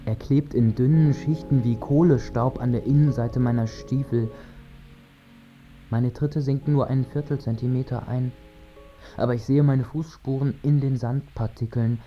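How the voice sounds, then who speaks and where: A man's voice: mid-range voice, fairly even intonation, normal speed, soft background music, natural voice. A male actor plays a male moon-bound narrator in a literary radio play. He declaims in an incantatory way, circling back on phrases, with an ordinary mid-range voice. The sound is slightly dull and band-limited. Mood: incantatory, solemn.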